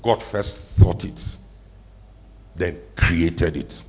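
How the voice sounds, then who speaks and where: A deep, low-pitched pastor delivers a conversational sermon, asking his congregation questions and slowing down to let key points land. He is recorded in a church hall, and the sound is muffled and a little lo-fi. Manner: conversational, asks the congregation questions, slows for emphasis.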